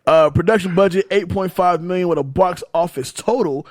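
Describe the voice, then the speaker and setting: A man's voice: sits high, fairly quick, no echo, clean studio sound. Swearing, laid-back young man hosting. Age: late 20s. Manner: loose, swears freely, laughs often. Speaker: podcast host. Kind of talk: casual movie chat.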